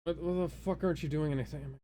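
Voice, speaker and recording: A man's voice: a little high, fairly even intonation, quick pace, dark tone, slight background noise. A young man co-hosting a casual gaming stream, grumbling and swearing as he reads menu options aloud. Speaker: podcast co-host and gamer.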